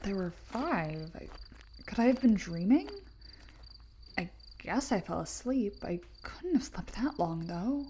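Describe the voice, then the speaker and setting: A woman acting an earnest, anxious young research assistant in an audio play, with a breathy, slightly husky voice. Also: medium pitch, lively intonation, a little slow, mellow, slight background noise, lightly acted. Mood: confused, anxious.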